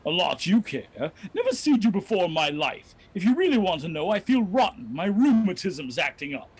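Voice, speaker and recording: A husky, cantankerous mailman from a radio comedy as an actor plays him. The delivery swings widely in pitch, full of sarcasm and suspicion, with a gruff, put-upon manner. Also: big pitch swings, normal speed. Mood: grumpy. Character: grouchy, sarcastic mailman with rheumatism. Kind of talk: old-time radio comedy acting.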